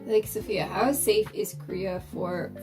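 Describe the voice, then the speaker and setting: A young woman from the States with a raspy just-woke-up voice, chatting casually and swearing as she tells a story while doing her makeup. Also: medium pitch, fairly even intonation, measured pace, music in the background. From United States. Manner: chatty, swears, acts out quotes in character. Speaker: vlogger.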